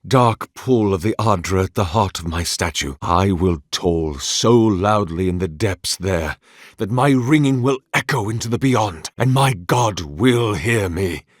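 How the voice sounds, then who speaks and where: A tormented, devout zealot inventor for a fantasy video game. His voice sits a little low and moves very deliberately, with long, weighty phrasing. The delivery is formal and theatrical, grave with obsession, and captured dry and close. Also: animated, no echo. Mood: solemn resolve. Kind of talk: dramatic video game voice acting.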